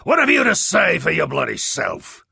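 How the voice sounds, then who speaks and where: Bullying pulp smuggler skipper, raised pitch, swooping delivery, loud, salty, sarcastic. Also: male voice, huge pitch swings, moderate pace, gravelly, breathy edge, no echo, studio quality, full character voice. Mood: roaring, menacing. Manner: loud, salty sailor talk with sarcastic bite. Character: roaring, sarcastic smuggler ship's captain, rough and bullying. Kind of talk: pulp radio-drama style audiobook acting.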